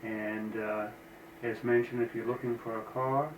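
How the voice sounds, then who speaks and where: A man's voice: medium pitch, little pitch movement, a little slow. Man with a mixed Kiwi-American accent. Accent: mixed New Zealand and American. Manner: plain, hesitant, many ums and uhs. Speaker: engine builder. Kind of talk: casual shop vlog. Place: office. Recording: echoey room, noisy camera sound with breakup.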